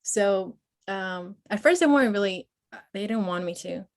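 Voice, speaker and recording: A woman in her forties, born in Peru, talking as a podcast guest about her life, with many pauses and fillers. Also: mid-range voice, animated. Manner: hesitant, many fillers, speaks openly and personally. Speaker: guest, Marine veteran and nonprofit founder. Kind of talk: conversational podcast interview.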